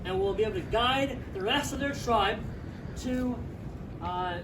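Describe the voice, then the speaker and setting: A man hosting an outdoor game, explaining the rules in a halting way with frequent uh's. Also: high voice, reverberant. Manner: halting, fills pauses with uh. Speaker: challenge host. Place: outdoor front yard. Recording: far-off mic, heavy outdoor noise.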